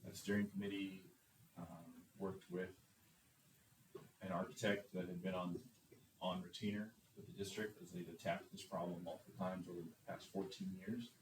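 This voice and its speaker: Low voiced guy at a job interview, sure of himself, kinda far from the mic in an echoey room. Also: flat delivery. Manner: confident, measured, self-assured. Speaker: superintendent candidate. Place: meeting room. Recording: echoey room, distant mic, faint noise.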